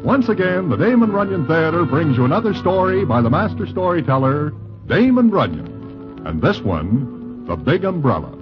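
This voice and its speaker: A male announcer speaks in a formal, declamatory 1940s style. His delivery moves widely in pitch over loud music. The old radio recording is muffled. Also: big pitch swings, moderate pace.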